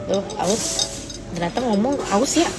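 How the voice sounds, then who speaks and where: A teenage girl chats on a phone livestream, reading out comments in a playful, teasing way. Her pitch sits in a middle range for a woman, and clear music plays behind her throughout. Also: lively intonation, normal speed. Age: teens. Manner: teasing, playful, rises high when mock-outraged. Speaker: livestream host. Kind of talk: casual live chat reading comments.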